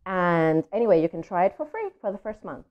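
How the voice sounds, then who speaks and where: A woman hosting a children's story read-aloud, chatting to viewers in an expressive, child-directed way. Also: lowish voice, a little fast, dull audio. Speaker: children's story reader and host.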